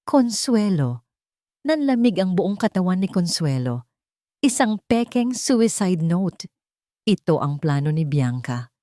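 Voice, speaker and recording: A woman's voice: on the low side, a little mellow, no room sound, clean studio sound. A woman reading a scripted dramatic story as narrator, in an emotive and suspenseful manner.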